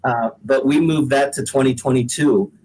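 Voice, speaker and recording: A man's voice: medium pitch, moderate pace, a little mellow, slight background noise, poor audio. A middle-aged American man, a singer-songwriter chatting casually as a guest in a folksy, joking, rambling way. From Pacific Northwest, United States. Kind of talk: casual podcast interview chat.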